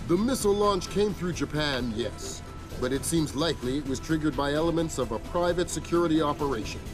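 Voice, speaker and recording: A man playing a senior intelligence chief in a video game cutscene, briefing in a measured, explanatory way. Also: a little high, expressive, lightly acted. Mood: measured, grave. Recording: dramatic music behind the voice.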